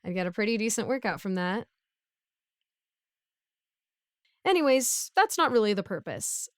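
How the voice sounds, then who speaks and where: Woman doing a chatty broke lady villain neighbor, audio roleplay, clean crisp voice, very dry close sound, awkward and pleading. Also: medium pitch, sing-song, brisk, no echo, close to an everyday voice. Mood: sheepish rambling. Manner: rambling, awkward, pleading and self-justifying. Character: broke, bumbling, chatty neighborhood supervillain asking favors. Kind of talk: casual conversational audio roleplay monologue.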